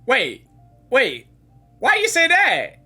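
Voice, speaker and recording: A woman's voice: high voice, huge pitch swings, slow, bright, forceful tone, husky. A woman giving spontaneous reaction commentary on a game, exclaiming and repeating her words. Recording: game music behind the voice.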